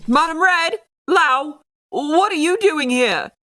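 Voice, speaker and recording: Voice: high-pitched, animated, takes it slowly, bright, forceful tone, a little hiss or hum, exaggerated voice. An actor playing a cold, serious young English boy earl in a manga dub, speaking in a curt, formal, commanding way. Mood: surprised, annoyed. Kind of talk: scripted anime-style manga dub acting.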